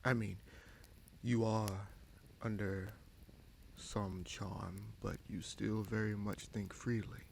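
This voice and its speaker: Guy doing a smug evil fantasy prince, lowish voice, slow n taunting, bit of noise behind him. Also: flat delivery, gravelly. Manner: slow, deliberate, taunting, with pointed pauses. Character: scheming bastard prince, sorcerer, smug and coolly menacing. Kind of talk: fantasy audio roleplay, dramatic monologue addressed to listener.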